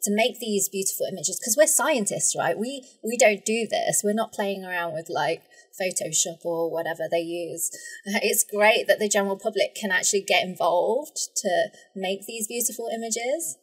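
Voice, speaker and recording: A woman's voice: mid-range voice, normal speed, breathy edge. A woman speaking as a guest scientist in a casual, informal discussion.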